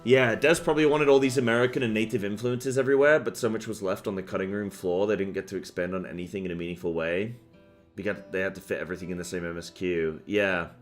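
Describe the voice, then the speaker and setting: A man's voice: deep voice, fairly even intonation, fast. A young man chatting casually with viewers on a game stream, rambling with plenty of fillers and getting fired up as he rants. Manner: rambling, many fillers, gets worked up when ranting. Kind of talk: casual live-stream chat. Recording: faint music behind.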